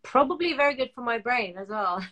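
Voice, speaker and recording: A woman's voice: a little high, expressive, fairly quick, bright, forceful tone. A woman whose first language is Norwegian, a guest in a video-call interview, answering thoughtfully with light laughs. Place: home room. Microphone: video call webcam.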